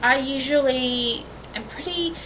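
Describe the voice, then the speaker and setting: A high-pitched woman giving a casual, rambling vlog introduction as host of an art channel, recorded on a webcam microphone. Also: a little slow, pushed and bright, soft background music, low-quality recording. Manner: rambling, informal asides and self-corrections. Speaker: host of a watercolor painting channel. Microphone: built-in webcam microphone. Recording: faint noise on a webcam mic.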